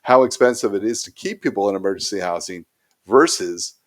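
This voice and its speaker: A man hosts a podcast with a lowish voice. It is recorded dry and close. He keeps the talk upbeat and encouraging as he draws out his guest. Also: expressive, a little fast, no room sound. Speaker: podcast host. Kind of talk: friendly interview hosting.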